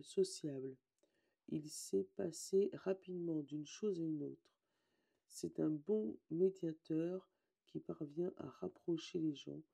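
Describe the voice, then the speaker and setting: A hoarse woman, casually chatting and reading oracle card meanings aloud, often correcting herself. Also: on the low side, warm tone. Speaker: tarot and oracle card reader.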